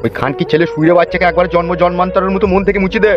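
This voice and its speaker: Man acting a scolding village father, rapid-fire. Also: sits high, fairly even intonation, close to an everyday voice. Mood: furious. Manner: scolding, coarse. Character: angry, shamed middle-aged village father. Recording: dull lo-fi track, music behind.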